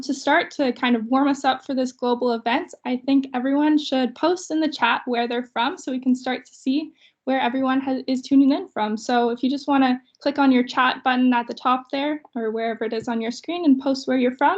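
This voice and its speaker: Young Canadian woman as student webinar host, fairly high voice, slightly muffled call audio. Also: fairly even intonation, moderate pace. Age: young adult. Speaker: student host opening an online session. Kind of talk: introductory hosting of a webinar. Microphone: video call. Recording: dull video call audio.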